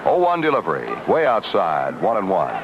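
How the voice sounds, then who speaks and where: Animated male baseball announcer, noisy old radio. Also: low-pitched, moderate pace. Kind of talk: live radio play-by-play commentary. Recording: dull, noisy vintage AM radio broadcast. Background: stadium ambience and loud noise.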